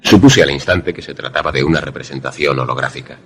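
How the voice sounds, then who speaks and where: Male student-narrator for suspense radio drama, man acting: very low, husky, formal and deferential, measured literary delivery. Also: a bit bright, heavily acted. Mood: measured, suspenseful.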